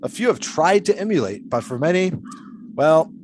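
An actor playing an eccentric, philosophical 1920s daredevil in an audio drama, serenely cryptic and teasing, with ornate phrasing. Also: male voice, fairly high pitch, sing-song, moderate pace, a little hiss or hum.

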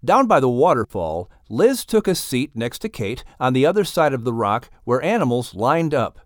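A man's voice: mid-range voice, sing-song, normal speed, dry recording, studio quality. A man narrating a scripted children's audiobook as the storyteller. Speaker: narrator and announcer.

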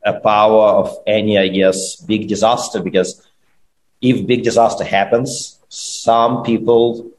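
A man from Ukraine with a Ukrainian accent, speaking as a guest in a measured, earnest way. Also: on the low side, level tone, a little hiss or hum, poor audio. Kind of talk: casual chat.

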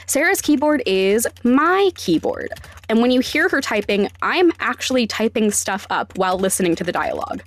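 A woman's voice: a little high, lively intonation, moderate pace. A woman hosting a podcast, giving scripted explanations with wry, self-deprecating asides. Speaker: podcast host and sound designer.

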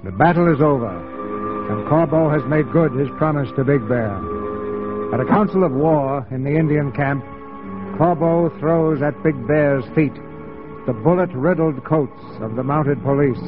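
A man's voice: on the high side, moderate pace, natural voice. A man narrating a 1940s radio drama in a formal, portentous style. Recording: dull vintage radio, music underneath.

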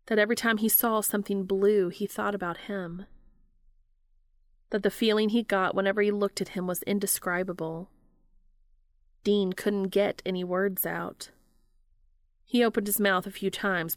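A young adult woman reading fan fiction aloud in a slow, even storytelling manner. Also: medium pitch, dry recording.

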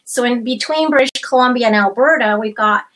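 A woman's voice: a little high, a bit bright. A woman co-hosting a livestream, enthusiastic and chatty, stretching her fillers as she talks. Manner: enthusiastic, laughing, drawn-out fillers. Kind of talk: casual livestream chat.

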